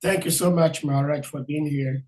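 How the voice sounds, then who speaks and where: A man hosting an online devotional talk, hoarse from losing his voice, welcoming a speaker politely with many hesitations. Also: fairly high pitch, fairly even intonation, normal speed, darkish tone. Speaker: host. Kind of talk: formal welcome and introduction.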